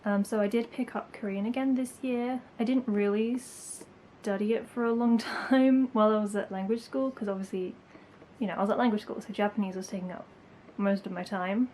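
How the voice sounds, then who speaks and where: A woman's voice: medium pitch, steady tone, moderate pace, darkish tone, slight background noise. Chatty young woman. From England. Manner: chatty, lots of likes and ums, light laughs. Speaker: language-learning YouTuber. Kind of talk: casual chat to camera.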